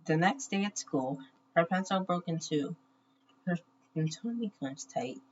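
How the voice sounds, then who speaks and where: A woman reading a children's story aloud in measured short phrases with pauses, on a muffled, noisy recording. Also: lowish voice, lively intonation. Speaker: children's book reader.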